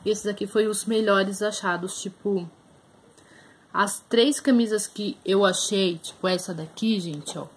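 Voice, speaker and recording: A woman's voice: medium pitch, measured pace, husky. Chatty Brazilian woman showing thrift finds. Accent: Brazilian Portuguese. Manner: chatty, shows items up close, many fillers. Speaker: vlogger. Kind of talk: casual haul video chat. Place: small room indoors.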